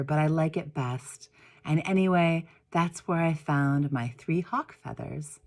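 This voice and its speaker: A woman reads a storybook aloud in a low voice. She takes it very slowly, with deliberate pauses and expressive phrasing, as a minister might when telling a story to all ages. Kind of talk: storybook read-aloud, first-person narration.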